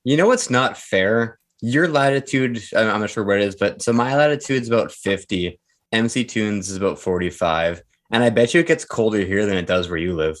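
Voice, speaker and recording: A man's voice: fairly low pitch, fairly quick. A Canadian man with a strong Canadian accent, chatting and joking casually on a live stream. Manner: chatty, jokey, swears lightly. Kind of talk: casual chat.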